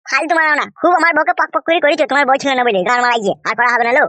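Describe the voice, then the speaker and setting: A man's voice: very high-pitched, rapid-fire, sharp tone, dry recording. A man voicing a defiant young nephew in a comic cartoon, crude and argumentative. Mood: accusing. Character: defiant, whiny young nephew with runaway wife.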